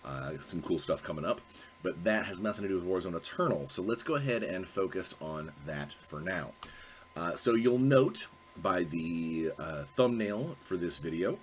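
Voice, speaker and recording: A low-pitched man talks casually to camera as host of his own show. His voice is stuffy and sniffly from a cold, and he rambles, restarting phrases and filling gaps with ums and uhs. A faint hum sits behind him. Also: animated, moderate pace. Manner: rambling, self-correcting, many fillers. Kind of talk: casual solo video talk.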